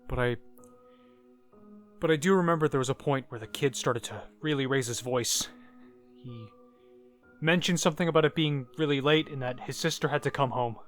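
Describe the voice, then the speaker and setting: Male horror storyteller, mid-pitched, first-person scripted narration with dramatic pauses, music underneath.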